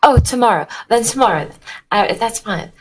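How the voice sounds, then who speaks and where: A woman's voice: a little high, animated, moderate pace, slightly rough, voice pushed up, poor audio. A woman voicing a courteous, genteel lady in a tabletop role-playing game, polite and a little hesitant.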